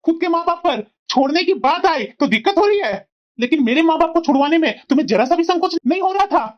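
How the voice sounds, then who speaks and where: A woman's voice: very high voice, expressive, quick pace. A woman voicing an earnest young husband and dutiful son in a cartoon, talking in a firm, lecturing way. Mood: stern. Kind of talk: cartoon voice acting. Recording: dull lo-fi recording.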